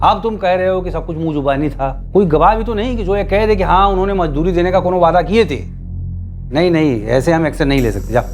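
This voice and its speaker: A man's voice: sits high, fast, natural voice. An actor playing a cynical small-town policeman in a TV crime drama, brusque and condescending. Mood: dismissive. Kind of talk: television crime drama acting. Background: music.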